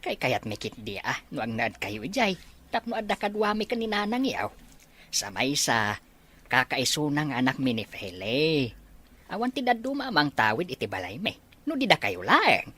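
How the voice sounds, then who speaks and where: Low, very swooping older Ilocano parent, acted by a woman. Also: sing-song, normal speed, fairly bright tone, slightly rough, heavily acted. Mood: pleading. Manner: hesitant. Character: hesitant, pleading older parent-in-law.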